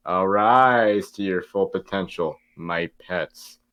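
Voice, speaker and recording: Man doing a deep, pompous cartoon mad scientist. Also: takes it slowly, fairly bright tone, clear, resonant tone, no echo. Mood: commanding. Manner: declamatory, pompous. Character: theatrical villainous mad scientist, gloating and grandiose.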